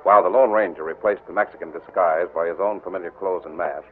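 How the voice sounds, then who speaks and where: Deep-voiced old radio narrator. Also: male voice, steady tone, fast, a little hiss or hum. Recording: tinny old radio with music behind.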